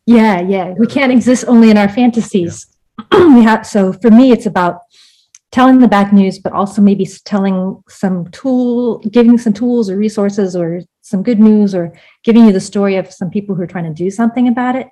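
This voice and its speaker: A woman's voice: medium pitch, normal speed, dark tone, low-quality recording. A woman from the United States, born in Vietnam, speaking as an interview guest in a casual, reflective and candid way, with plenty of "like" in her sentences. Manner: candid, informal, peppered with "like".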